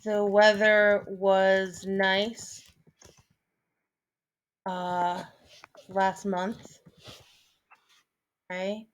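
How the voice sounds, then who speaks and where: A woman in her thirties, a teacher, explaining a lesson in a relaxed, chatty way, with frequent ums and small asides. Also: mid-range voice, drawn-out words, pauses often. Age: 30s. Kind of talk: recorded online lesson. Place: at home, seated at a desk.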